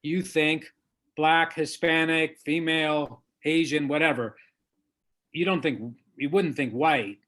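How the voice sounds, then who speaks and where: A man talks in a conversational interview, earnest and measured, with firm emphasis when he makes a point. His voice sits fairly high for a man. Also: steady tone, fairly bright tone. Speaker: author, lawyer and diversity trainer.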